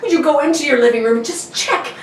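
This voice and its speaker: A woman playing a nervous, desperate man in an old-time radio suspense play, pleading and halting. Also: high voice, lightly acted. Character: nervous, desperate, sleepless male neighbour obsessed with a corpse. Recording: echoey room from far off, faint noise.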